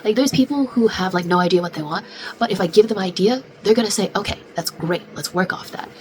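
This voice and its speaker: A woman's voice: medium pitch, fast. A young woman in her twenties chatting casually in a vlog, congested and close to losing her voice, filling her talk with "like". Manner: chatty, venting, many "like" fillers. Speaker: vlogger. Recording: noisy background.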